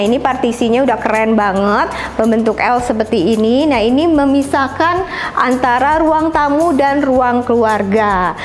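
A woman hosting a house tour, walking viewers through the rooms in an upbeat, chatty way. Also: fairly high pitch. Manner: upbeat, addresses viewers as friends. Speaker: property tour host. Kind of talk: walkthrough house review. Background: background music.

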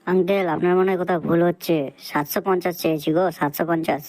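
A man's voice: high voice, fairly even intonation, quick pace, a little mellow, dry recording, natural voice, poor audio. A man voicing a dim, stubborn young male freeloader in a crude comedy cartoon, slangy, repetitive and argumentative. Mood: exasperated.

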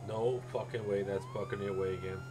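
A man's voice: fairly low pitch, fairly even intonation, a little fast. A man chatting casually in character as a paramedic in online game role-play. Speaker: live streamer role-playing as a paramedic. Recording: game sirens and vehicle noise behind.

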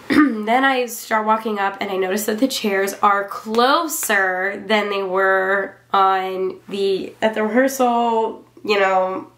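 A woman's voice: medium pitch, slow pace, a bit bright. A woman in her twenties telling a story casually to camera in a rambling, offhand, conversational way. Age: 20s. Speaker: vlogger reviewing own wedding. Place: small furnished room at home. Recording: roomy indoor echo.

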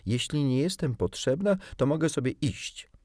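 A man's voice: mid-range voice, animated, normal speed, darkish tone, no echo, studio quality, voice pushed up, lightly acted. A man voicing a prim, haughty yet kindly little girl from a storybook, speaking in a proper manner. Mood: indignant, haughty. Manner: proper, indignant, caring.

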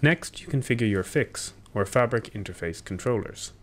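A young man giving a technical walkthrough, steady and explanatory with occasional ums and self-corrections, recorded at a desk in a small room. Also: fairly low pitch, normal speed, slight background noise. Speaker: engineer presenting a tutorial. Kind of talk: technical walkthrough over screen share.

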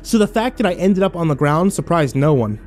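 A man's voice: on the high side, very animated, fairly quick, a little mellow. A man playing a sarcastic, battered young man who narrates his own horror audio drama with wry, sweary self-deprecation. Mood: tense narration.

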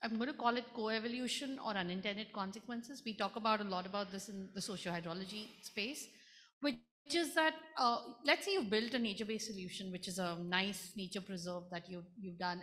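Woman co-running the session, asks the panel something, bright tense voice, hall echo. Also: mid-range voice. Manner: thoughtful, chatty. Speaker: session co-convenor. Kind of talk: panel question. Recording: long reverb of a conference hall.

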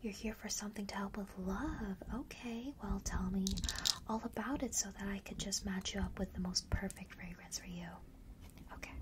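A soft, breathy whisper comes from right at the microphone, with clear noise behind it. It is a friendly young witch running a perfume shop, acted by a woman for an ASMR roleplay. She coaxes and reassures gently, in casual, slangy phrasing. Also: medium pitch, natural voice. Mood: reassuring, friendly. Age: young adult. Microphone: close large studio microphone.

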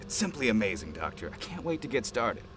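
An actor playing an eager, practical male engineer in an audio drama, with a polite, animated manner. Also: fairly low pitch, fast. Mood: polite, keen. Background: music.

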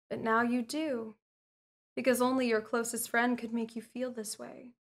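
A woman voicing a calm, manipulative female hypnotist who lulls a captured spy with soothing, repetitive words in an ASMR roleplay. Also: fairly high pitch.

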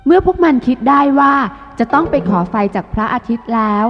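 A woman reads a scripted children's tale as narrator. Her voice sits a little high, with music playing behind her and a slightly dull recording. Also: animated, a little fast. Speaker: storyteller.

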